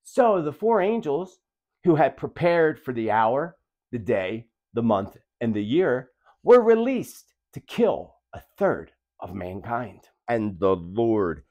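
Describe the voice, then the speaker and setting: A man's voice: mid-range voice, sing-song, slow pace, lo-fi sound. A man hosting a video, narrating prophecy in a dramatic, emphatic, theatrical way. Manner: emphatic, theatrical, rhetorical questions. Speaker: video host and self-described predictor.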